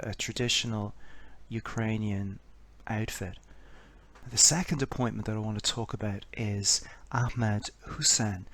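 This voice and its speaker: Guy with a lowish voice doing a commentary video, calm explaining, lots of rhetorical questions. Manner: poses a string of rhetorical questions. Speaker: political commentator.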